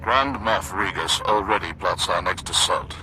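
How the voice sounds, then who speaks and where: Brisk Imperial general, loud music behind. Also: male voice, deep voice, full character voice, low-quality recording. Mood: brisk, businesslike. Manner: brisk military report. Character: efficient, obedient male Imperial general. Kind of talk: video game cutscene acting.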